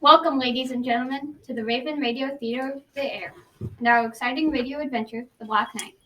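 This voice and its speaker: A woman's voice: high voice, fairly even intonation, fast, fairly bright tone. A young-sounding female announcer reading scripted narration for an amateur radio drama. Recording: distant mic, faint noise.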